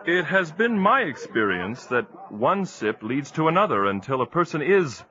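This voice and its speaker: An actor playing a good-humored sea captain who politely but firmly turns down drink. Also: male voice, fairly high pitch, sing-song, normal speed, highs cut off. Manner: polite, gently firm. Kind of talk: audio drama acting.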